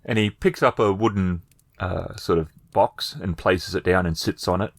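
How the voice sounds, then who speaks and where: A man's voice: deep voice, moderate pace, creaky. A man narrating a tabletop roleplaying game as game master.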